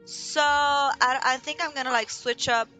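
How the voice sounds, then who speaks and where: A young woman casually commenting as she plays a video game, self-deprecating and rambling, yelling at the screen at times. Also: high voice, steady tone, measured pace. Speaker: gaming channel host. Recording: game music underneath.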